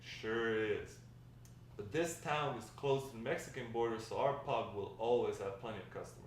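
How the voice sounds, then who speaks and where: A talkative old grandfather played by a man reminisces in a conversational, hesitant way at a drama table read. He is warm and encouraging, with a mid-pitched voice. The recording is very echoey and distant from the mic, with faint noise. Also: close to an everyday voice. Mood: nostalgic. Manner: conversational, rambling storytelling with hesitant pauses. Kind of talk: amateur table read of a script.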